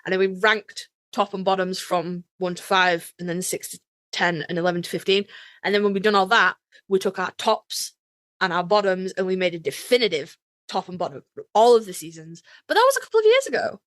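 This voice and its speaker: A woman's voice: fairly low pitch, lively intonation, brisk, a bit bright, no echo. A woman co-hosting a podcast in casual chat, with a playful, bantering, self-deprecating manner. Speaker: podcast co-host.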